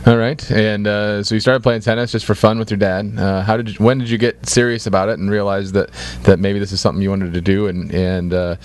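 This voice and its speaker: A man's voice: fairly low pitch, level tone, fairly quick, hardly any pauses. A man hosting a radio interview, asking long, rambling questions full of restarts and fillers. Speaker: radio host. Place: radio studio.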